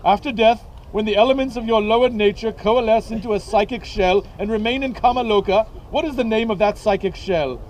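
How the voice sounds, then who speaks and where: A man's voice: high-pitched, a little fast, hardly any pauses, darkish tone, slight background noise, lightly acted. A man voicing a stern, haughty female headmistress in a comic dramatic reading, with a pompous manner.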